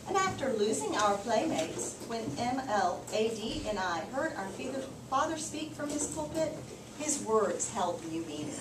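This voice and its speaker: A woman teacher reading aloud and talking with a group of children. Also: high voice. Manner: repeats and affirms listeners' answers. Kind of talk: storybook read-aloud and class discussion. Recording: echoey, noisy room, distant microphone.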